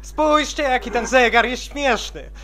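A woman voicing an excitable young female pony in an amateur cartoon dub. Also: on the high side, a little slow. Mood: giggly excitement.

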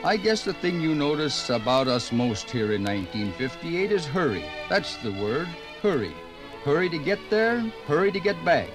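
A man's voice: fairly high pitch, big pitch swings, normal speed, over music. An actor playing a folksy, plain-spoken American man narrating a 1950s radio documentary in a conversational, homespun way. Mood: folksy, emphatic.

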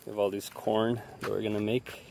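A man's voice: lowish voice, little pitch movement, fairly quick, gravelly, a little mellow, slight background noise. A man walking through a campsite outdoors, pointing out the gear one item at a time while filming on a phone. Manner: plain, listing things one by one. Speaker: family member giving a campsite tour. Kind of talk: casual walkthrough tour.

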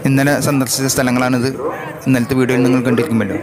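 A man with a Malayali accent narrating a travel vlog slowly and in measured, short separated phrases. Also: mid-range voice, steady tone, a crowd in the background. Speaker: vlogger. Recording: loud music over the voice. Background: loud music.

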